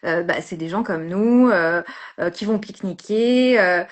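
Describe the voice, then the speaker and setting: A woman's voice: on the low side, measured pace. A woman from France, a street photographer, chatting as an interview guest and talking hesitantly with many fillers and restarts. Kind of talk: casual interview chat.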